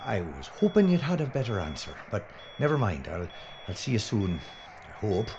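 A man's voice: lowish voice, huge pitch swings, normal speed. Man playing swooping, sarcastic, nervous sidekick. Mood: hesitant. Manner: dry, whiny sarcasm. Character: nervous, sarcastic male filmmaker, reluctant comic sidekick. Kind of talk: horror adventure audio drama acting. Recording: dull audio with loud background noise.

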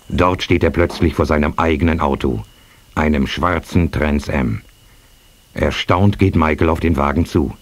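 An actor narrating a suspenseful action radio play as its storyteller. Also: male voice, rumbling low, slight background noise. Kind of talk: scripted audio drama narration.

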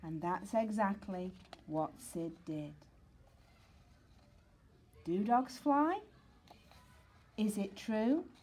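A woman reading a children's picture book aloud, deliberate and expressive. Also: medium pitch, breathy edge. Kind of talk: children's storybook narration.

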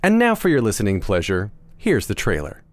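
A man's voice: lowish voice, huge pitch swings, fairly quick, no room sound, clean studio sound. A man reading a scripted promotional announcement as a podcast announcer.

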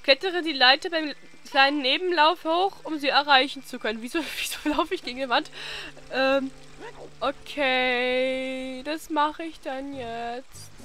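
Young female gamer, bright sharp voice, excitable and playful casual commentary. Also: high-pitched, a little slow. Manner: silly, excitable, breaking into exclamations and singsong. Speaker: let's play host.

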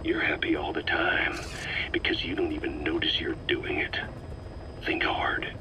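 An actor playing a cold, sardonic military interrogator in a video game, deliberate and accusatory, often sinking into a hushed, breathy near-whisper. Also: male voice, rumbling low, fairly even intonation, poor audio. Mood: cold contempt. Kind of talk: dramatic video game voice acting.

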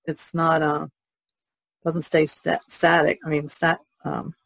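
A woman's voice: deep voice, monotone, slow, vocal fry, a bit bright. A middle-aged or older American woman talking conversationally as a podcast co-host.

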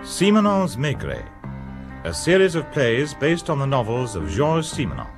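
A man giving a formal, scripted radio programme introduction with clear phrasing. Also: mid-range voice, wildly swooping pitch, normal speed, over loud music. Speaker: radio announcer.

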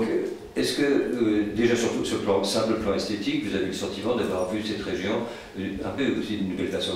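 A man with a low voice puts a long question at a book event. He is hesitant, polite and wordy, circling toward his point. He sounds distant in a very echoey room. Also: darkish tone. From France, Paris area. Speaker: audience questioner, social scientist. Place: indoor meeting room at a public book event. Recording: very echoey room, distant mic, faint noise.

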